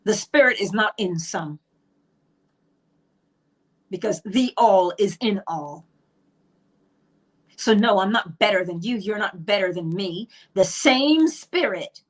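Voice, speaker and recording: A woman's voice: mid-range voice, fairly bright tone. Barbadian lady, middle-aged, preaching about spirit and law, emphatic, asks and answers her own questions. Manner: emphatic, rhetorical questions, acted quotes. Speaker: spiritual teacher. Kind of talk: monologue on manifestation.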